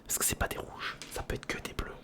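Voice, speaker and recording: A breathy, unvoiced whisper carries this ASMR commentary. The speaker mutters casually to themselves while working with their hands, repeating short phrases in informal slang, close to the mic. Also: fast talker, sharp tone. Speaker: ASMR video creator. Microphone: close-up studio condenser or handheld recorder.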